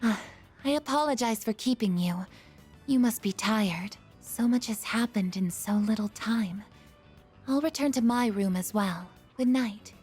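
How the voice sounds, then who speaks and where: Formal, self-doubting game android girl; slightly high, husky voice over music. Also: darkish tone. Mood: apologetic. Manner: measured, formal phrasing. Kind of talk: video game voice acting.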